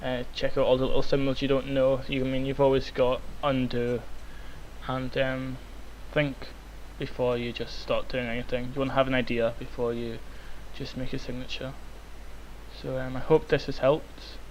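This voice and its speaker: A young man, hoarse and croaky from illness, rambling with many ums through a software tutorial. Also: medium pitch, flat delivery, normal speed, poor audio. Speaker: tutorial maker. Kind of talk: screen-recorded software tutorial. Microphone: cheap microphone. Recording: faint hiss, cheap mic.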